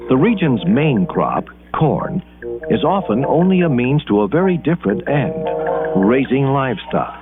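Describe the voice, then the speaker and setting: A man with an American accent narrating an educational documentary in measured, deliberate phrases with dramatic pauses. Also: medium pitch, big pitch swings. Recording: muffled band-limited 1980s film soundtrack. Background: background music.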